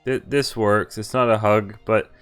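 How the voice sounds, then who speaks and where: A man's voice: on the low side, steady tone, measured pace, fairly bright tone, clean tone. A man streaming a game, reading a visual novel aloud and adding playful, chatty commentary that wanders into asides. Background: game music.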